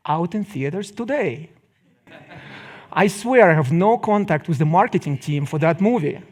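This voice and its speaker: A middle-aged man with a Russian accent gives a public lecture, phrasing deliberately with pauses and wry asides. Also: on the high side, darkish tone.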